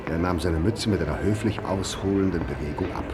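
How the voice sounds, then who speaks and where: An actor as the grave, literary narrator of a 1950s German radio drama, with a husky voice. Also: male voice, low-pitched, fairly quick, loud music behind the voice, lightly acted. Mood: dry, measured. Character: grave, literary male storyteller.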